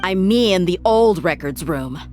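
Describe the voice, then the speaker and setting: Low-voiced stern young woman mercenary. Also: animated, a little slow, a little mellow, soft background music. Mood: cold, demanding. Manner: blunt, commanding. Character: stern, weary young woman mercenary, grieving her father. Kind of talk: video game voice acting.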